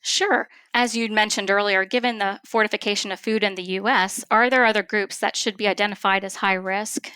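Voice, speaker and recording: A woman speaking as an expert physician guest in an interview, explaining things in a measured, formal and precise way. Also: mid-range voice, steady tone, bright, forceful tone, clear, resonant tone, no echo.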